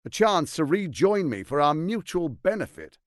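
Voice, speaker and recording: A man playing a smooth-tongued, condescending tyrant lord in a video game, with a pompous, theatrical delivery and deliberate emphasis. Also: a little high, sing-song, no room sound, clean studio sound. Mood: measured, menacing.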